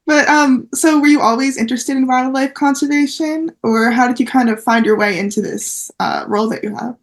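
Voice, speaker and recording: A woman's voice: high-pitched, brisk. A young woman interviewing a guest in a chatty, upbeat way, with plenty of fillers. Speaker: interviewer, volunteer.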